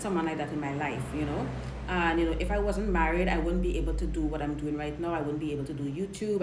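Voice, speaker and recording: A woman from Trinidad with a Trinidadian accent, a dating coach chatting frankly while answering viewer questions on a live stream. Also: low voice. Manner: chatty, frank, repeats and restarts words. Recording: roomy live-stream audio with faint noise.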